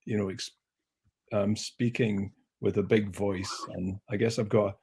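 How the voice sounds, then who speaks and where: A man's voice: lowish voice, measured pace, husky. A man chatting as a guest on a video call, telling stories in a big, projecting voice with self-mocking humor. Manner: self-mocking, animated storyteller. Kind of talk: casual chat.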